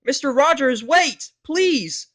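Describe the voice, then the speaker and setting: Pony businessman and distraught dad played by a male reader, strained high pitch, pleading, halting, fan audio drama. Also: lively intonation, fairly bright tone, heavily acted. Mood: desperate. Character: proud business stallion, a desperate, pleading father. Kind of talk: fan fiction audio drama character acting.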